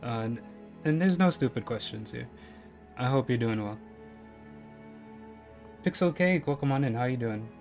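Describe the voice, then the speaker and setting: A man's voice: medium pitch, animated, normal speed, gravelly, long pauses, a little mellow. Young male streamer, from New York with a slight Caribbean accent, loose and rambling, faint music under him. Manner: rambling, chatty, lots of fillers. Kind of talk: casual live-stream chat. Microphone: headset.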